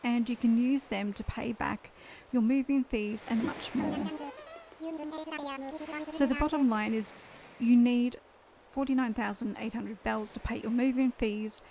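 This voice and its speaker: A woman's voice: fairly high pitch, fairly even intonation, unhurried, vocal fry, warm tone, slight background noise. A woman reading aloud the lines of a boastful male raccoon shopkeeper from a video game. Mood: upbeat salesy.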